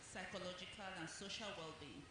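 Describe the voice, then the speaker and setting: A woman whose first language is French, delivering a formal prepared keynote address as a conference chairperson in a measured way. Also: on the low side, heavy background noise. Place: conference venue.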